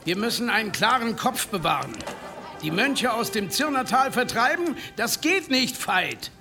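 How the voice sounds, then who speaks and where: Emphatic, high-pitched village headman. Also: very animated, normal speed, full character voice. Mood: firm, commanding. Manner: emphatic, declamatory. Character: male village headman, urging calm, firm authority. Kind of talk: German radio drama acting.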